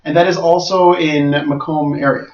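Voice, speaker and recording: High-voiced man, roomy distant sound. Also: fairly even intonation, normal speed. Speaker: podcast co-host and head tournament organizer. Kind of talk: casual podcast talk. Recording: roomy, dull, distant mic.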